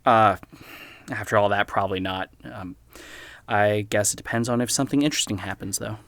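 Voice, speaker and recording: An actor playing an awkward, apologetic young amateur investigator in an audio drama, talking in a halting, stammering way. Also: male voice, on the low side, fairly bright tone, dry recording. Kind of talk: naturalistic audio drama acting.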